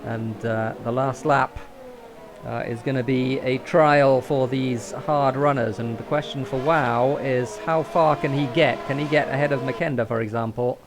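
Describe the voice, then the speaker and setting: A man commentating a sim race, calling each move in brisk play-by-play. His delivery climbs when drivers fight side by side, then settles back. A faint hum of noise sits under his voice. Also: fairly even intonation. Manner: brisk play-by-play that lifts in pitch during battles. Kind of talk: live sim racing commentary.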